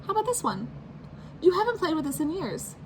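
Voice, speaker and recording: A woman's voice: very high voice, very animated, fairly quick, a little hiss or hum, pitched above the performer's own voice, low-quality recording. A woman voicing an exasperated mother who scolds and bargains with her son about his toys. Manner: stern, scolding, sometimes yelling. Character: exasperated mother, fed up with clutter.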